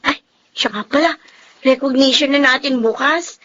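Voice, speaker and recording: A woman's voice: high-pitched, moderate pace, sharp tone. A woman with a Filipino accent playing a chatty, inquisitive schoolgirl in a radio drama. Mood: chatty, eager. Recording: muffled, dull audio.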